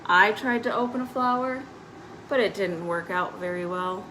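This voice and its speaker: A woman's voice: medium pitch, normal speed, background noise, lightly acted. A woman voicing a spirited, grumbling tomboy fairy from a storybook, telling her tale expressively. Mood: rueful. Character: spirited tomboy fairy, hundred years old, grumbling about dainty rules.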